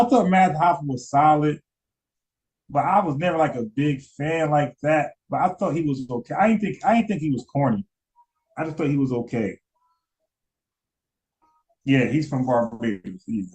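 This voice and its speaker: A man's voice: fairly high pitch, a little mellow, rough recording. A man giving casual live-stream commentary, rambling, repeating words and asking his chat questions. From United States.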